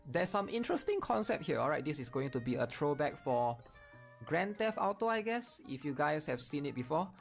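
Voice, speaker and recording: A man's voice: fairly high pitch, big pitch swings, moderate pace, creaky, faint music behind. A man giving casual, explanatory gameplay commentary, often checking in with his viewers. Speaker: gaming video creator.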